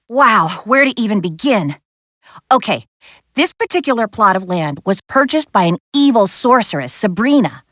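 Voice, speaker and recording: A woman voicing a confident, spirited young adventurer in a video game, briskly giving missions with an earnest, lightly wry manner. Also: on the high side, fairly bright tone, no echo, studio quality. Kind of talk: video game character voice acting.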